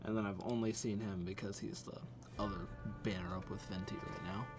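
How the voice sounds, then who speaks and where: A man hosting a reaction video, giving casual commentary as he thinks aloud in a halting way. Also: on the low side, steady tone, music in the background.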